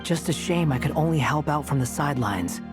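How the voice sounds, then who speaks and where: A man voicing a laid-back, wry male helper with an easygoing, unhurried manner in a video game. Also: fairly high pitch, lively intonation, close to an everyday voice. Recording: game audio with faint music behind.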